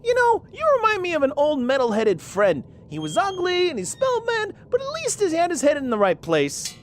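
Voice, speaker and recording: A woman's voice: sits high, huge pitch swings, fairly quick, slight background noise. A woman voicing a cocky, scrappy male robot rat who needles others in slangy, mocking talk for a fan radio drama. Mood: cocky, needling.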